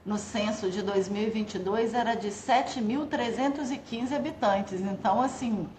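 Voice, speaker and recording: A woman judge from Minas Gerais talks in a slightly high voice, stopping and restarting as she searches for her words. Her voice carries a roomy echo, with faint noise behind it. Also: a little mellow. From Minas Gerais, Brazil. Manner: hesitant, halting, with many restarts. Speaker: judge, podcast guest. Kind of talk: casual podcast chat. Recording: echoey room with faint noise.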